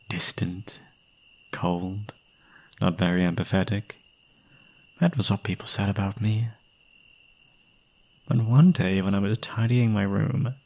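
A young man playing an obsessive, gleeful yandere kidnapper in an intimate ASMR roleplay, doting on the listener in a breathy voice. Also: low-pitched, darkish tone, heavily acted. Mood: mocking. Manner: doting pet names, unhinged chuckling, swinging into whispers.

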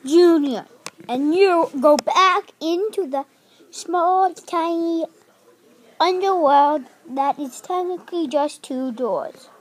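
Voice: extremely high pitch, slow. A child improvising a pirate puppet show, acting out the characters and chanting in a sing-song way.

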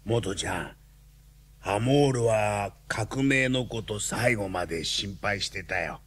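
A man playing a grave, philosophical lion in a radio drama, speaking solemnly and deliberately. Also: on the low side, fairly bright tone. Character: grave, philosophical male lion, weary revolutionary comrade.

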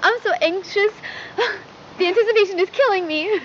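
Young woman playing a perky female student co-anchor, gushing delivery, high-pitched, muffled old broadcast audio with slight noise. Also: moderate pace, a bit bright. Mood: giddy, anxious. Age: young adult. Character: perky, devoted female high school news co-host. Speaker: news co-host. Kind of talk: 1990s school broadcast presenting, analog horror acting. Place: outdoors in a forest at night. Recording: dull lo-fi VHS-style audio, faint noise.

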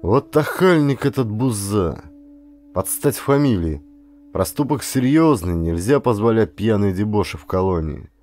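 A stern, aging governor of a frontier colony, acted by a male narrator. He speaks in commanding, old-fashioned officialese with animated pitch. He is irritable yet shrewd, made for an adventure audiobook. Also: measured pace, soft background music. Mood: stern deliberation.

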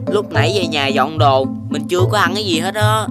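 A woman's voice: fairly low pitch, fairly quick, close to an everyday voice. A woman voicing a grumbling, greedy chubby child in a children's cartoon, with a whiny, complaining delivery. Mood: whining. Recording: loud music under the voice.

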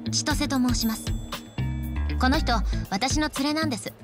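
A poised young heiress played by an actress in a video game drama. Her fairly high voice is assured and courteous, with a cheeky edge. Loud music runs behind her. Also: moderate pace, close to an everyday voice. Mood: poised, formal. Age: young adult. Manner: polite, assured, teasing. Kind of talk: Japanese game cutscene acting.

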